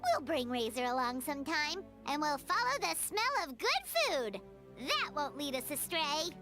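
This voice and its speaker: Squeaky, perky little fairy girl. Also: big pitch swings, moderate pace, bright, forceful tone, faint music behind, heavily acted. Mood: cheerful. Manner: squeaky, childlike, blurting. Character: tiny childlike fairy companion girl, perky, food-loving. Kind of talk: video game voice acting.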